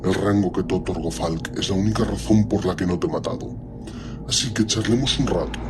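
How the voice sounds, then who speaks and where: A man's voice: low-pitched, a little fast, noisy background, lightly acted. A man playing an ancient superhuman warlord in an audio drama, speaking with cold, commanding disdain and menace. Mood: cold, menacing. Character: ancient imperious superhuman warlord primarch, menacing and coldly condescending.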